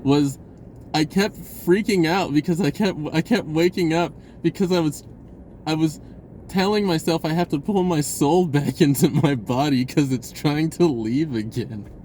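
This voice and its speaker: A man telling a personal story in a casual, rambling, informal way with an animated, giggly delivery. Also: on the high side, unhurried, a little hiss or hum.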